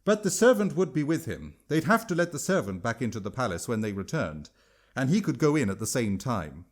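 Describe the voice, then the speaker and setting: A man's voice: medium pitch, big pitch swings, fairly quick. A man narrating a written story as an audiobook-style reader and its author.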